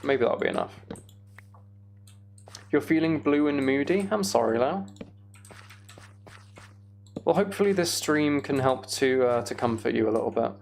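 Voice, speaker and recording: A man's voice: medium pitch, lively intonation, moderate pace, gravelly, pauses often. A British man chatting casually on a livestream while he plays a game, rambling and thinking aloud. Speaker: streamer.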